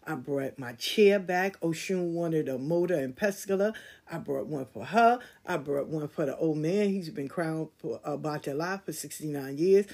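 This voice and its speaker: A woman hosting a podcast, speaking in in a candid storytelling way that swings from loud emphasis to quiet asides. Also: sits low, husky. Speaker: podcast host. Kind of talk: casual monologue.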